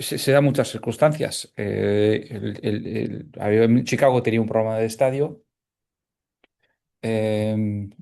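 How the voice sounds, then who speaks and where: A man's voice: lowish voice, gravelly, warm tone. A man from Canada, a sports journalist speaking as a seminar guest, hesitant with frequent fillers, restarts and tag questions. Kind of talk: conversational seminar talk.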